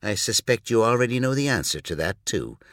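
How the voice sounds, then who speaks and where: A man's voice: medium pitch, very animated, brisk, a bit bright, dry recording, clean studio sound. A man voicing a sardonic, weary human man. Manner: sarcastic, bitter. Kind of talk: audiobook reading.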